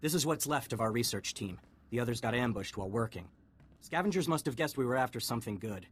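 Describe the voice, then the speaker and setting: An actor playing a harried army lieutenant in the field in a video game, brisk and urgent. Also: male voice, mid-range voice, animated, husky. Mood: urgent, relieved. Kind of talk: video game dialogue acting.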